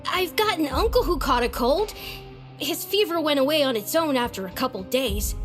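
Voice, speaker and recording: A woman's voice: extremely high pitch, a bit bright, exaggerated voice. A woman voicing a sulky, bratty young sick boy in a video game, whining and grumbling. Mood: whiny, pleading. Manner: whiny, grumbling. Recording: music behind the voice.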